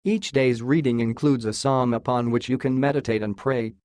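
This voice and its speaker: A man's voice: medium pitch, normal speed, darkish tone, no echo. A man announces a scripted introduction in a mid-range male voice. The sound is very dry, with no room around it.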